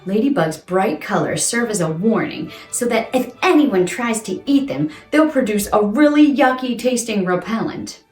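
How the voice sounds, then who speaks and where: Woman, children's presenter, highly expressive intonation, exclamatory and playful, echoey room, music underneath. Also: normal speed. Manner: exaggerated, exclamatory, asks questions of young listeners. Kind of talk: playful children's science read-aloud. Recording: roomy echo with music underneath.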